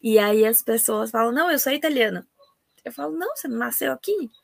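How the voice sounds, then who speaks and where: Animated Brazilian woman hosting a podcast. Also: a little high, quick pace, gravelly, no echo, clean studio sound. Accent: Brazilian Portuguese. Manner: animated, emphatic, often exclaims "gente". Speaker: podcast host. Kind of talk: casual podcast interview.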